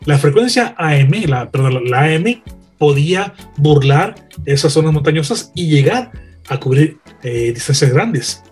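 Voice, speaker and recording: Husky, higher-pitched Mexican man, earnest. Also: lively intonation, soft background music. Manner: earnest, measured, eloquent phrasing. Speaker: radio broadcaster, panel guest. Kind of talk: reflective panel commentary.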